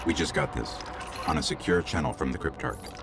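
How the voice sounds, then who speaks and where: An actor playing a small, curious robot companion in a video game, speaking in clipped, inquisitive phrases over music. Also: male voice, extremely low pitch, a little fast, vocal fry. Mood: curious, focused. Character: small male robot companion, curious, brisk, quietly awed. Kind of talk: video game character dialogue. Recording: loud music behind the voice.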